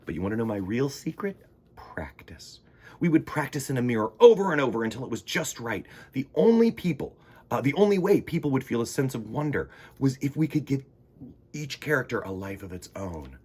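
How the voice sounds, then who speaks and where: A man reading a children's picture book aloud in the first person, theatrical, sometimes dropping into hushed emphasis. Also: medium pitch, big pitch swings, fairly quick, breathy edge. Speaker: children's storytime reader.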